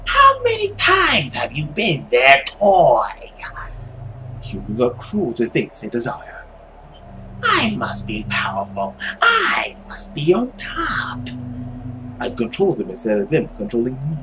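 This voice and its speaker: A performer voicing a haughty, cruel creature who feeds on love, in a melodramatic visual novel reading with drawn-out, swooping words and whispers. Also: mid-range voice, huge pitch swings, very noisy. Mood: menacing, bitter. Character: cruel, theatrical vampiric love creature, haughty and vengeful villain.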